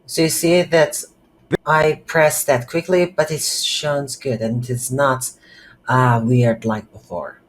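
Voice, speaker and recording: A non-native English speaker giving an unscripted coding tutorial, thinking aloud in a halting way while working at a computer. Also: mid-range voice, fairly even intonation, poor audio. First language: not English. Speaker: programming tutorial instructor. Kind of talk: screen-recorded coding tutorial, unscripted explanation. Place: indoors at a computer.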